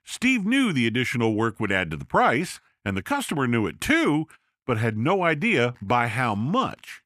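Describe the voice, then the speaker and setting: A man voicing a seasoned male contractor who calmly explains his system to other builders. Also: medium pitch, normal speed, dry recording, studio quality. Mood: explaining.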